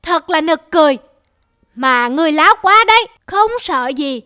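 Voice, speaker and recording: A woman's voice: sits high, animated, normal speed, sharp tone. A woman voicing an arrogant, bullying huge elephant in a children's cartoon. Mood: scornful, bullying.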